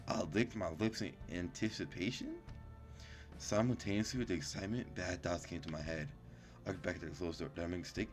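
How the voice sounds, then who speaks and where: A man with a very low voice hosts a casual gaming video. He reads on-screen story text aloud, tripping over words and cutting in with blunt, incredulous, sweary asides. Faint game music plays behind him. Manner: stumbles over read-out words, breaks off into profane, incredulous reactions. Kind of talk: casual let's play, reading game text aloud with commentary.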